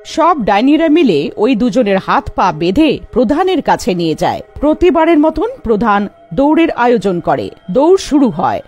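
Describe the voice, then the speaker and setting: A woman's voice: a little high, very animated, moderate pace, a little mellow, lo-fi sound, soft background music. A woman giving a scripted narration of a horror fairy tale.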